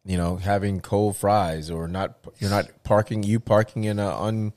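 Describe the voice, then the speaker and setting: A man's voice: on the low side, flat delivery, moderate pace, darkish tone. A man talking in casual chat as a show host, rambling with many restarts and fillers.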